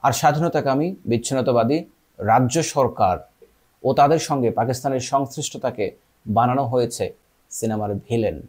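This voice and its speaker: Guy presenting a film breakdown, reading a script to viewers, normal mid pitch. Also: normal speed, a little mellow, husky. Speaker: presenter of a film analysis video. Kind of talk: scripted narration addressed to viewers.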